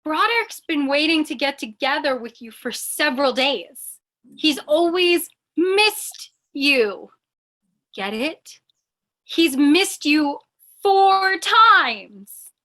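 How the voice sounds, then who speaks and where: Woman doing a high bright voiced radio play dame, plucky, coy, acting all prim and dignified. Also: lively intonation, takes it slowly, exaggerated voice. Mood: pointed, anxious. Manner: theatrical, coy, mock-dignified. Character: plucky woman posing as a ladylike gang boss.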